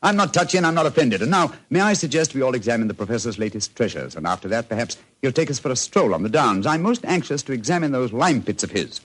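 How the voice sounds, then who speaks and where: An actor playing a proud, precise English gentleman detective with a formal, dry manner in a vintage radio drama. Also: mid-range voice, expressive, fast, few pauses, background noise, close to an everyday voice. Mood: formal, cool. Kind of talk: 1940s radio acting.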